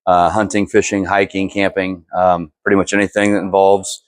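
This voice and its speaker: Man, very deep voice, dry close sound, easygoing. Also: level tone, moderate pace, clean tone, dry recording.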